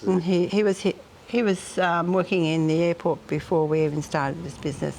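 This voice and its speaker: A woman's voice: on the low side, steady tone, fairly quick, creaky, darkish tone, slight background noise, rough recording. A middle-aged Australian woman, co-owner of a guesthouse, chatting casually and rambling a little with plenty of ums. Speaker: hotel co-owner. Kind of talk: casual interview chat.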